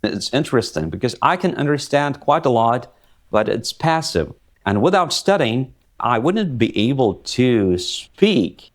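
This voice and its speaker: A man in an easygoing chat about languages, thinking out loud as he talks. He pauses often and fills the gaps with small hesitations, at an ordinary middle pitch. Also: big pitch swings. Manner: thinking aloud, hesitant with fillers. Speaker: host.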